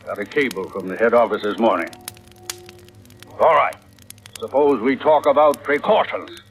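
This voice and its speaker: An actor playing a stern, wary ship's captain with terse authority in a 1940s radio drama. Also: male voice, medium pitch, normal speed, soft background music, natural voice. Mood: grudging, stern. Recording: vintage radio recording, faint noise.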